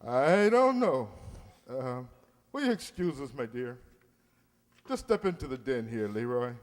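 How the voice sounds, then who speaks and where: Un actor haciendo de tío pomposo, voz muy cambiante. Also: male voice, medium pitch, big pitch swings, a little slow, long reverb tail. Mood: indulgent, curious. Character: blustery middle-aged uncle, pompous but soft-hearted. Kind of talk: old-time radio comedy acting.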